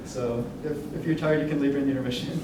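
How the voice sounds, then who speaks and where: A man's voice: medium pitch, steady tone, racing, background noise. A young man giving a casual, irreverent, hesitant announcement to introduce a staged reading. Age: young adult. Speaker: writer presenting his musical and performer. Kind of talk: informal pre-show announcement. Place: indoor room at a staged reading. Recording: distant, very echoey room recording, music.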